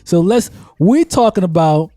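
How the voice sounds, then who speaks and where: Man, podcast co-host, hyped banter, high voice, mellow tone. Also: lively intonation, measured pace, no echo. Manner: hyped, loud, laughs and whoops a lot. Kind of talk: casual podcast banter with a read sponsor spot.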